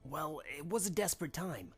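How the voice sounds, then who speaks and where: A man playing a dutiful, defensive pony prince and guard, formal and measured, in a fan audio drama. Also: fairly high pitch, expressive, faint music behind. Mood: sober.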